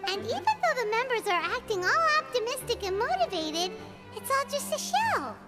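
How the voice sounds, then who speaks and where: A woman voicing a small, naive, childlike fairy companion in a video game, exclamatory, squeaky and easily indignant. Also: sing-song, moderate pace. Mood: puzzled. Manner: exclamatory, refers to itself in third person. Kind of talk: video game character dialogue. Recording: game music loud behind the voice.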